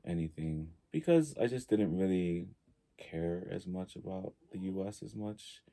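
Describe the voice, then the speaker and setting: A man in his early forties from Tonga, talking casually and reflectively as a podcast guest, halting as he thinks aloud. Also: low-pitched, vocal fry, dark tone. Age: early 40s.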